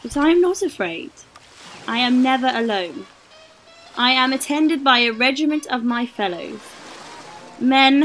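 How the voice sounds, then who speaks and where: A woman's voice: high voice, poor audio. A woman playing a proud, vain, sensual middle-aged woman in a literary radio drama.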